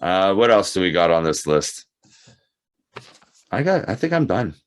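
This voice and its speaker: A man's voice: sits low, very animated, a little slow. A man chatting casually as a podcast co-host. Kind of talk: casual chat.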